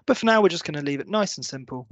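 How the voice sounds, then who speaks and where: A man in his thirties with a slightly high voice narrates a screen-share tutorial. He explains each step in an orderly, instructional way. The sound is close and dry, a little dull, as if from a computer mic in a small room. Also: expressive, very fast, dry recording. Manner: clear, step-by-step explanatory delivery. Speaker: company presenter giving a software walkthrough.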